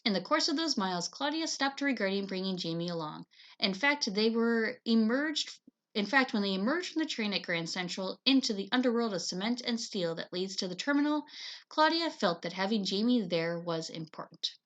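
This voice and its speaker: A woman teacher reading a chapter book aloud to children in an expressive, dramatizing manner. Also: mid-range voice, normal speed, lo-fi sound. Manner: animated, dramatizes dialogue.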